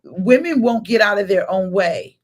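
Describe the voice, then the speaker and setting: A woman's voice: mid-range voice, animated, moderate pace. A woman pastor hosting a casual conversation, affirming her guest and giving blunt opinions. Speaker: pastor and host. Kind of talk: casual chat.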